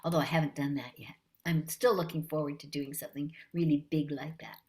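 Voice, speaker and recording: A woman with a light voice, talking warmly and unhurriedly as a guest in a casual interview. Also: sits low, steady tone. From Canada. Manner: warm, friendly, unhurried. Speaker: voice actress guest.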